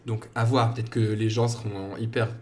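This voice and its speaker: A man's voice: lowish voice, level tone, normal speed, slight background noise. A man in his thirties co-hosting a podcast, telling rambling stories in casual chat and laughing often. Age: 30s. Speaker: podcast co-host.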